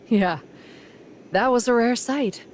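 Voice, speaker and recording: A woman's voice: a little high, drawn-out words, a little hiss or hum. A woman voicing a composed, wry young pirate in an anime game, with a dry manner.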